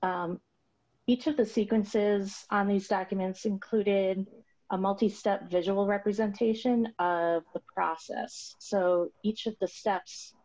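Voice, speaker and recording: A woman's voice: mid-range voice, steady tone, unhurried, dark tone. A woman presenting her team's project on a video call, explaining steadily with frequent ums and uhs. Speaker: award recipient presenting a project. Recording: slightly dull, lo-fi video call audio.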